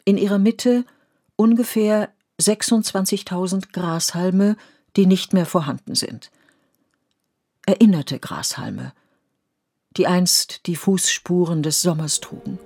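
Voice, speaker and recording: A woman narrating a scripted literary radio play in measured, poetic storytelling. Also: lowish voice, mellow. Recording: studio radio play with music underneath.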